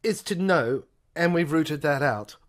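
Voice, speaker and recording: A woman's voice: low-pitched, lively intonation, a little slow. A woman voicing a cynical, hardened police sergeant in an audio play, making emphatic, scornful pronouncements.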